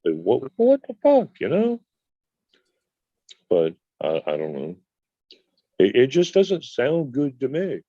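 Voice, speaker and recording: A man with a deep voice talking on his phone mic in casual group chat, drawling and mildly profane. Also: huge pitch swings, slow pace, pauses often, dark tone, no echo, highs cut off.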